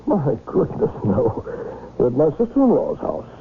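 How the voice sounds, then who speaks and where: A secretive gentleman in an old radio play. His creaky voice swoops dramatically as he probes and commands, over a tinny, noisy broadcast. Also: fairly high pitch, wildly swooping pitch, moderate pace. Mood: insistent, secretive. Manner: clipped, probing, imperious. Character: secretive, insistent English gentleman, smoothly commanding schemer.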